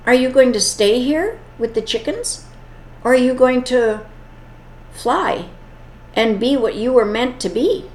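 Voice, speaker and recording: A woman's voice: on the high side, expressive, unhurried. A woman voicing an earnest male eagle who urges a young bird to fly. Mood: urging. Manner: earnest, urging. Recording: echoey room.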